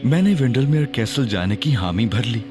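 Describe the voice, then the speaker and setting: A man's voice: mid-range voice, animated, normal speed, over music, lightly acted. A man as a cocky, joking young detective. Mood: narrating. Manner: playful, teasing, theatrical. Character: cocky, joking young male detective and first-person narrator. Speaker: Ali Imran. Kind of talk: audio drama acting and narration.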